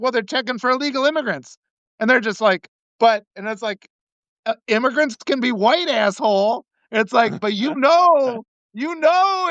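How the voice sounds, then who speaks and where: A man from Wisconsin hosting a casual political talk, animated and swearing, acting out the people he quotes. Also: very high voice, no echo. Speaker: host. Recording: muffled lo-fi sound.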